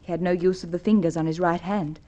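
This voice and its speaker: Woman playing a mellow-voiced, trusting radio-drama newlywed. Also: lowish voice, steady tone, fast talker, muffled recording, natural voice. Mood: earnest, bright. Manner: polite, earnest. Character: trusting, polite newlywed wife, bewildered by tragedy. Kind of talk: old-time radio crime drama acting.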